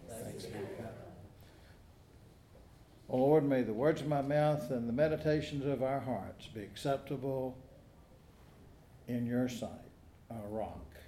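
Mellow-voiced pastor in an echoey church. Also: male voice, mid-range voice, a little hiss or hum. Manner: storytelling, measured and earnest. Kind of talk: sermon with scripture reading. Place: church sanctuary.

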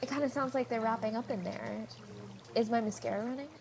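A woman's voice: a little high, a little fast, over music, lightly acted. A woman playing a frank, wry teenage girl in a comedy radio play, talking in a confiding monologue.

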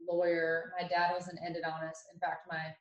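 A young woman from Ohio, a podcast guest telling her story in a chatty, animated way with many fillers and restarts. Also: fairly low pitch, a little fast, lo-fi sound. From Ohio, USA. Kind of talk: casual storytelling interview. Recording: roomy echo.

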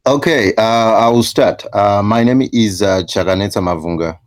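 Very deep-voiced guest, dry sound. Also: male voice, no echo. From Zimbabwe. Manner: measured, hesitant with many uhs. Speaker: guest, professor. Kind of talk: interview answers.

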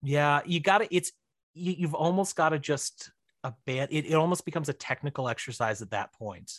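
A man chatting as an interview guest, wry and self-deprecating, often halting as he explains things. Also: a little high, fairly bright tone, no echo, studio quality. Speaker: podcast guest, writer and director. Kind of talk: casual conversational interview.

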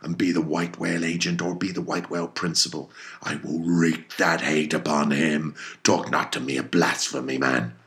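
A gravelly, abyss-deep old whaling captain. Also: male voice, flat delivery, moderate pace, a bit bright. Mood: defiant, wrathful. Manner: grandiose, archaic, commanding. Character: obsessed, domineering old whaling captain, brooding and defiant. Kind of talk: dramatic audiobook character reading.

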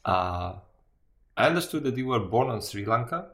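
A man's voice: mid-range voice, very animated, normal speed, a bit bright. A man casually interviewing someone, hesitantly rephrasing his questions as he goes. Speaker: interviewer in conversation with a guest.

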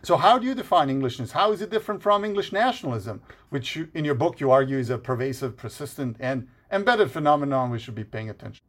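A man's voice: medium pitch, expressive, fast talker. Polite male panel moderator. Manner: polite, posing long questions.

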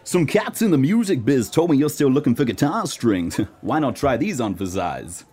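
A man acting a mellow, chummy pop star. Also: mid-range voice, very animated, normal speed, husky. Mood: warm, reassuring. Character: laid-back, friendly male British pop star, chatty and rambling. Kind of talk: amateur radio play acting.

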